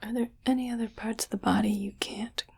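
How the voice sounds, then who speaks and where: A woman voicing a condescending female alien in an ASMR roleplay, fascinated and disgusted by humans, in a breathy, deliberate, haughty whisper. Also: on the high side, steady tone, darkish tone. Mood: fascinated disdain.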